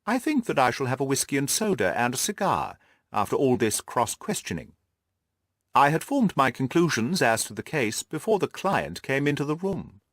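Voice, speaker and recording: A man's voice: mid-range voice, very animated, normal speed, no room sound, clean studio sound. A man voicing a confident, amused detective in an audiobook, precise and teasing in his talk. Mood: brisk, assured. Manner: wry, precise, self-assured. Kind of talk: audiobook character dialogue.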